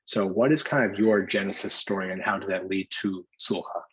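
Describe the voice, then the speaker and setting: A man's voice: deep voice, a little fast, fairly bright tone, poor audio. A man hosting an online discussion in a conversational, somewhat wordy and roundabout way. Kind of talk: conversational event moderating.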